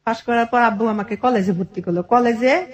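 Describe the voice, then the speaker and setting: Higher-voiced Bangladeshi woman telling her story. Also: fairly quick. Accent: colloquial Bangladeshi. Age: 40s. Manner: animated retelling with quoted family dialogue. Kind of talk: informal personal storytelling.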